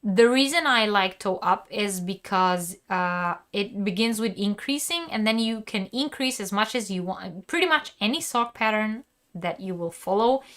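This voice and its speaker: A woman hosting a live crochet lesson, talking casually with her viewers and laughing often. Her voice is wearing thin and she stops to cough and clear her throat. She talks in loose, chatty runs with plenty of fillers. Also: medium pitch, lively intonation, moderate pace, fairly bright tone. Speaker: crochet teacher and channel host. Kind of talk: live-streamed craft lesson, answering chat.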